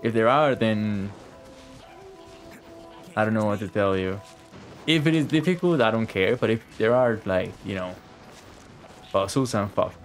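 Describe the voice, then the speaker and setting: Male game streamer, lowish voice, casual and sweary, talking to himself over game music. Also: expressive, unhurried, pauses often. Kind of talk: casual live game commentary.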